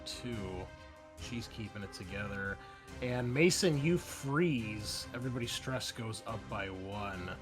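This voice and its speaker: A man running a tabletop roleplaying game as game master, narrating the action in a conversational, halting way. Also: mid-range voice. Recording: faint music behind the voice. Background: faint music.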